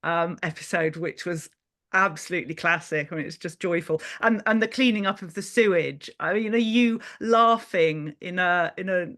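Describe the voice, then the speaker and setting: A woman's voice: fairly low pitch, normal speed, fairly bright tone. A woman hosting a panel, interviewing guests in a conversational way. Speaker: panel host.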